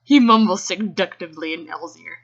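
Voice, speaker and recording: A woman's voice: fairly low pitch, fairly quick, a bit bright. A woman giving an amateur dramatic reading of fan fiction, giggly and given to casual asides. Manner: giggly, often breaks off to react. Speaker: reader. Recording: dull lo-fi home recording.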